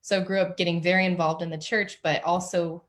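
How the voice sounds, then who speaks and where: Candid woman author, low-ish voice. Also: little pitch movement, fairly quick. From Oklahoma and New Mexico, USA. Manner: candid, casual, with fillers. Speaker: author, interview guest. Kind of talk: conversational storytelling. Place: home office.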